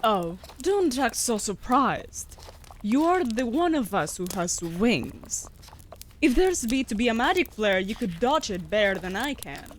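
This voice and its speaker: A woman playing a sharp-tongued, bossy wolf familiar in a fantasy audio roleplay, speaking in a haughty, sardonic, formal way. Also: fairly high pitch, sing-song, unhurried, a little hiss or hum. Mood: smug, impatient.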